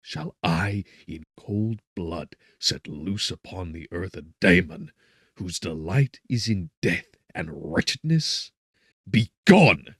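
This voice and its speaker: A man playing a defiant, anguished scientist in a gothic audiobook, declaiming with forceful indignation. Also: sits low, lively intonation, takes it slowly, breathy edge, no room sound, studio quality, exaggerated voice. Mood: resolute rage. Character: defiant, anguished male scientist confronting his creation. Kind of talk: dramatic gothic audiobook acting.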